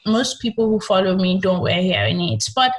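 A young woman guest with a high-pitched voice, talking earnestly and reflectively in a casual interview. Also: sing-song, normal speed. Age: young adult. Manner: earnest, reflective, with many fillers.

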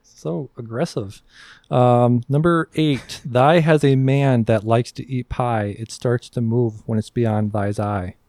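Lower-pitched man hosting a casual podcast quiz. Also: steady tone, takes it slowly, a little mellow, dry recording. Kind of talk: casual quiz chat, reading riddle clues in mock-archaic verse.

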